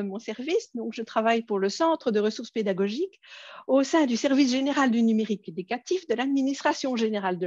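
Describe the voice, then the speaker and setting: A woman's voice: on the high side, darkish tone, dry recording, muffled recording. A woman with a Belgian French accent presenting an online workshop, friendly, with many hesitations and fillers.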